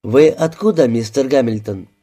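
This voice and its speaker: A man's voice: medium pitch, normal speed, warm tone, lightly acted. A man voicing a cool, wry young woman. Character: cool, poised young woman, politely teasing.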